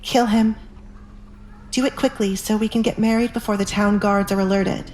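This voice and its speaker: A woman's voice: medium pitch, fairly even intonation, moderate pace, a little mellow, reverberant, a little hiss or hum, voice pushed up, natural voice, poor audio. A woman voicing a cold, resolute young noblewoman and wounded daughter in an audio drama, cool and firm. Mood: cold, decisive. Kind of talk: audio drama narration with acted dialogue.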